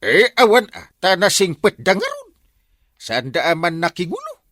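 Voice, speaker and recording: A man acting a comically slow-witted village captain in an Ilocano comedy drama, with an Ilocano accent. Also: high-pitched, huge pitch swings, a bit bright, no echo, studio quality, exaggerated voice. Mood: reporting.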